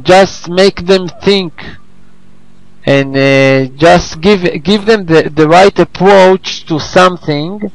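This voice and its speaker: A man who is not a native English speaker, teaching informally on a group call in a halting, repetitive way as he searches for words. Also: sits high, no room sound, low-quality recording. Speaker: host and teacher of an online English class. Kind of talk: informal lesson on a conference call.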